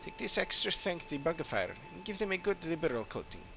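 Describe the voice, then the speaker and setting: A man's voice: on the high side, sing-song, brisk, creaky, over music, voice pushed up. A man voicing a friendly farm cleaner from a video game, perky and instructive. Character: friendly farm cleaner handing out a pest-spraying task. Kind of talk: game dialogue read aloud in character.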